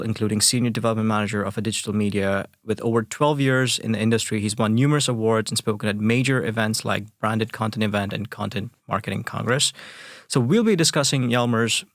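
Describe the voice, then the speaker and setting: A man's voice: fairly low pitch, no room sound, clean studio sound. A man hosting a podcast, reading a guest introduction and asking interview questions. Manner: reads guest intro, short backchannels. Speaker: podcast host.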